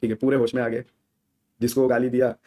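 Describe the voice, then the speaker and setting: A man's voice: mid-range voice, little pitch movement, brisk, warm tone. A man speaking as a gaming streamer in a casual, slangy rant to viewers, often seeking their agreement. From India.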